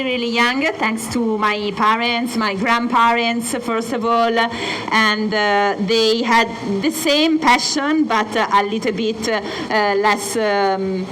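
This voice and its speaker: A young Italian woman with an Italian accent, presenting as a mountain guide and pausing often with filler sounds. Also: a little high, a bit bright, slight background noise, poor audio. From Italy. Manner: many filler sounds and pauses. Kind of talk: hesitant presentation talk. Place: hotel event space.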